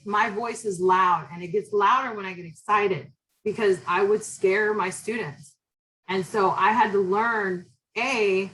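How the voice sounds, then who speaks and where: A woman professor giving a casual classroom lecture, direct and chatty, with a loud voice and plenty of fillers. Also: mid-range voice, low-quality recording.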